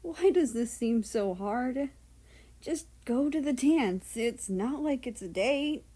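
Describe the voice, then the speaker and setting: A woman's voice: sits high, expressive, slow, mellow, voice pushed up, heavily acted. A woman voicing a shy, anxious, self-doubting teenager in a story reading. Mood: nervous.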